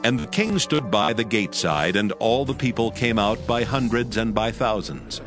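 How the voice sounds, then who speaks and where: Low-voiced man narrating scripture. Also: moderate pace. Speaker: audio Bible narrator. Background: background music.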